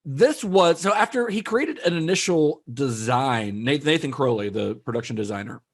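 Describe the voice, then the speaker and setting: A man's voice: medium pitch, animated, moderate pace. A man co-hosting a casual film podcast, chattily explaining background research with frequent fillers. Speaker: podcast co-host.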